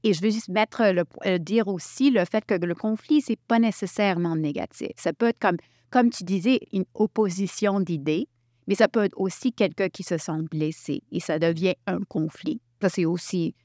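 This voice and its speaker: A woman from Quebec leading a workshop, talking in an animated way and restarting her sentences often. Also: on the low side, normal speed, dry recording, clean studio sound. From Quebec, Canada. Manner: animated, thinks aloud with many restarts. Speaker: workshop facilitator. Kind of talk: workshop talk.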